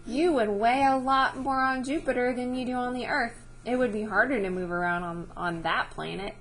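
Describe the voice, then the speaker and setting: A woman's voice: on the high side, moderate pace, slight background noise. A woman teacher reading a science book aloud to children, explaining as she goes and checking understanding with short questions.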